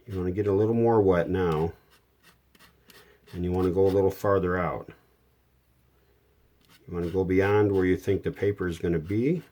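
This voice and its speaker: A man's voice: low voice, fairly even intonation, moderate pace, pauses often, mellow. A man giving a casual hands-on how-to, explaining each step plainly and without hurry as a repair hobbyist.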